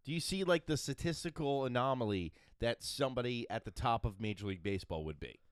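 A man co-hosting a casual podcast, excitable and argumentative, swearing and rambling through his points. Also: mid-range voice, quick pace, clear, resonant tone, no room sound, clean studio sound. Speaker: podcast co-host. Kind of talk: casual banter.

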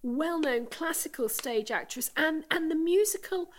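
Woman interviewer with a high voice that swoops up and down. She sounds playful, laughing often and tossing in short encouraging replies. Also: animated, unhurried. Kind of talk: interview chat.

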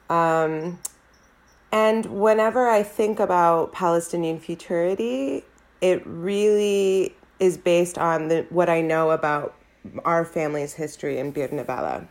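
A woman in her thirties with an American accent, an academic guest answering reflectively in a measured way with frequent pauses. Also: deep voice. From United States.

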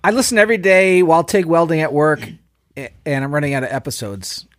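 A man with a New Jersey accent reads a listener's email on a podcast and keeps breaking into laughs. His voice sits a bit high. The sound is dry and close. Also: fast talker, dry recording. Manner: laughs through his reading, jokey. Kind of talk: reading an email aloud, casual chat.

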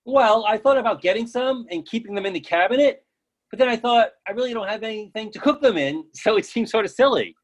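Man acting a high-voiced, stubbornly earnest oddball. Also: expressive, fairly quick. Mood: earnest insistence. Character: oddly fixated, stubborn, earnest man with silly logic. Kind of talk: comic dialogue in a play reading.

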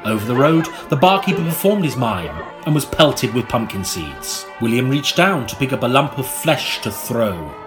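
A man narrating an audiobook in a theatrical, melodramatic style, with dramatic pauses. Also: medium pitch, very animated, over music.

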